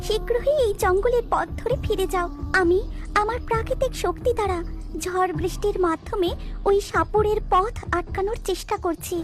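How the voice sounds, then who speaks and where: A woman's voice: extremely high pitch, moderate pace, soft background music, pitched above the performer's own voice. A woman playing a benevolent, protective nature goddess who speaks in a stately, formal manner in a cartoon. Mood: solemn concern.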